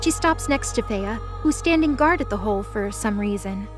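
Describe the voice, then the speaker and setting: A woman's voice: medium pitch, expressive, moderate pace, music in the background. A woman reading scripted, dramatic story narration as the narrator of an audio drama.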